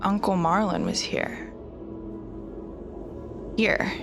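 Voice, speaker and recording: A woman's voice: medium pitch, sing-song, drawn-out words, vocal fry. A woman talking casually as a tabletop roleplay player, thinking aloud as she describes what her character does. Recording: prominent music bed. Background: background music score.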